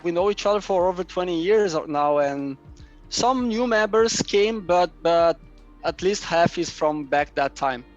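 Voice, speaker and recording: Brazilian-accented man, halting and careful. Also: sits high, expressive, lo-fi sound, soft background music. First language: Portuguese. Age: 30s. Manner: hesitant, repeats words as he searches. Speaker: guest, map publisher. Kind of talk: casual chat.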